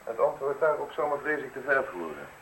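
An actor playing a sly, falsely modest male visitor in a radio play, speaking in deliberate, ornate, theatrical phrases with pauses. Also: medium pitch, very animated, a bit bright, low-quality recording. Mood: dreamy, wry. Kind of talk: Dutch radio play acting. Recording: hissy radio drama recording.

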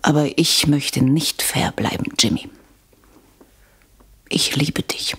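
An actress playing a flirtatious, pleasure-loving unfaithful wife in a radio crime drama, with a playful manner. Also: female voice, deep voice, takes it slowly, a bit bright, slightly rough, in a whisper, heavily acted. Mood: coquettish. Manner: coquettish, playful.

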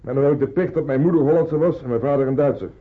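A man's voice: mid-range voice, rapid-fire, close to an everyday voice. A man acting a polite, friendly half-Dutch German soldier in a wartime radio drama. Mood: polite, explaining. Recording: muffled, band-limited old radio recording.

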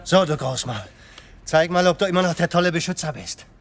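An actor playing a menacing, boastful young street thug in a German crime radio drama. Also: male voice, fairly high pitch, expressive, fairly quick, close to an everyday voice. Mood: taunting.

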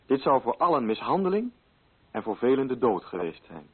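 Sober storyteller, middle pitch, husky, scripted narration, narrow-band vintage radio sound. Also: male voice, very animated, moderate pace. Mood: sober, solemn. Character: sober literary storyteller narrating a colonial novel. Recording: muffled old radio recording.

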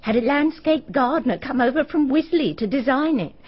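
An actress playing a chatty, gossipy village woman in a BBC radio drama, telling stories in a brisk, knowing manner. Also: high voice, lively intonation, husky. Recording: dull archive radio recording.

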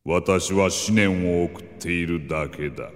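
A man playing a cold, commanding evil entity in an audio drama, speaking in a grandiose, menacing, measured way. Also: sits low, faint music behind. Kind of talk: anime-style audio drama acting. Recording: long cavernous reverb.

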